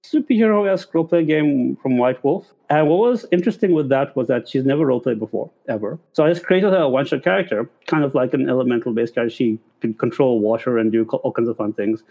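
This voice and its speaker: A man's voice: mid-range voice, fast talker, vocal fry, few pauses, warm tone, highs cut off. Rambling man on a panel. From Cape Town. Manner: rambling, chuckles, restarts. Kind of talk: casual chat on a panel.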